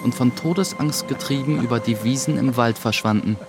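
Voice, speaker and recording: A man playing an earnest young sorcerer's apprentice who narrates his own story in a measured way for a radio play. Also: mid-range voice, lightly acted. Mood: narrating, measured. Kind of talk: scripted first-person narration in German radio play. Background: music.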